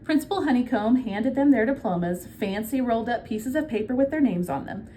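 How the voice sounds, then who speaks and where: A woman with a fairly high voice reads a children's story aloud in a teacher's manner. She explains things simply and puts questions to her listeners. There is some noise in the recording. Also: expressive, brisk, mellow. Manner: explains simply, prompting listeners to talk to partners. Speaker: teacher reading a children's book to a class.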